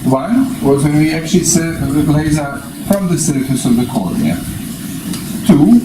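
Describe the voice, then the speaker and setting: A man in his fifties giving an explanatory lecture in a deliberate, step-by-step way, presenting from a lectern. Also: a little high, lively intonation. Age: 50s. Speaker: presenter, eye surgery specialist. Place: small indoor meeting room at a lectern. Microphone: distant camera microphone. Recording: echoey, noisy room, distant microphone.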